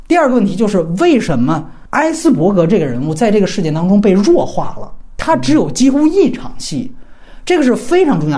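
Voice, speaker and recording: A man's voice: high voice, a little mellow, husky. A man hosting a film discussion, giving his opinions analytically and emphatically, explaining point by point. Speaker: film podcast host.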